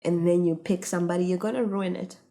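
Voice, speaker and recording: Low-voiced woman musing in casual chat. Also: fairly even intonation, quick pace, vocal fry, dark tone. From Africa. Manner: earnest, reflective, fillers like "you know" and "like". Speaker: podcast guest. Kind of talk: casual conversation.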